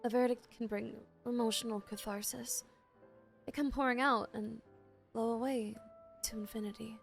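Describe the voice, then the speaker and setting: A woman streamer casually reacting to a video game in short, expressive exclamations. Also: fairly high pitch, normal speed, gravelly. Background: faint game music.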